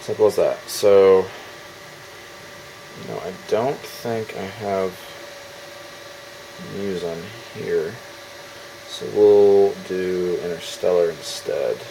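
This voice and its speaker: A man's voice: low-pitched, takes it slowly, long pauses, dark tone, a little hiss or hum, rough recording. A man giving a casual, off-the-cuff demo as a DIY builder, thinking aloud as he handles his gear.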